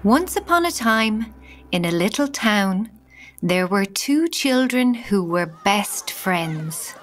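A woman narrating a children's fairy tale as a storyteller, measured and deliberate. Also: mid-range voice, big pitch swings, a little hiss or hum. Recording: music underneath.

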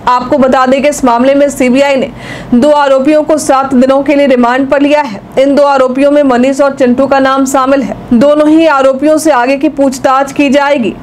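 Young woman reading news, high and flat. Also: a little hiss or hum. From India. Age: young adult. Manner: steady, even newsreading delivery. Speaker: news anchor. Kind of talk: read news bulletin. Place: news studio.

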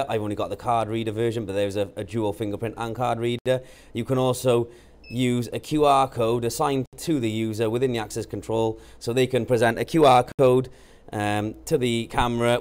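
A man, talking matter-of-factly through steps in an informal software tutorial. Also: mid-range voice. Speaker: presenter of product tutorials. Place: indoor office. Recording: clear background noise.

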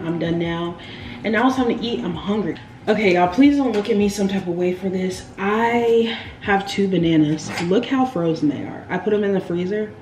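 A young woman chats straight to her viewers in a casual, playful way. Her voice bounces off the walls of a kitchen. Household noise runs clearly underneath. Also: medium pitch, reverberant. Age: young adult. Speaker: vlogger. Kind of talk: casual vlog chat to camera. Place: home kitchen, indoors. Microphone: camera on the counter.

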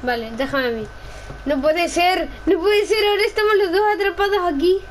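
Young woman from gaming, high excitable voice, bit of background noise. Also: a little fast. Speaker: gamer. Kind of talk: casual gameplay role-play chat.

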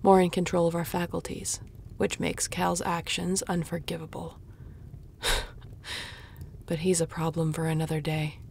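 An actress plays a tough, grumpy shifter woman in an audio roleplay. Her voice sits fairly low and crackles with vocal fry. She is curt, weary and sarcastic but grudgingly caring, with a little background ambience behind her. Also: completely flat delivery, unhurried, long pauses, darkish tone. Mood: dry, matter-of-fact. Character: grumpy, tough female shapeshifter guard, begrudgingly caring. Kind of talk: intimate audio roleplay acting.

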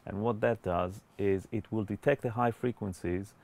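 Give this to a man in his forties with a very deep voice and a lot of fry in it. He explains mixing like a studio instructor, in measured, slightly hesitant phrases. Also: fairly even intonation, darkish tone. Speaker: music producer and mixing instructor. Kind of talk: explanatory webinar tutorial. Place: indoor recording studio.

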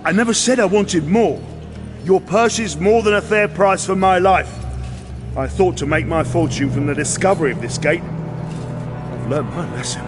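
Man as a high-voiced, blunt mercenary captain. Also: normal speed, over music, natural voice. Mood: wary, resigned. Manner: plain-spoken, gruffly commanding. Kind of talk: audio drama acting.

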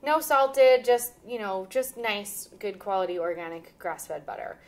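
Woman in her thirties, cooking show host, mid-pitched, upbeat and instructive, kitchen demo. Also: lively intonation, measured pace. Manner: upbeat, instructive, laughs often. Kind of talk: casual cooking demonstration. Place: home kitchen, indoors.

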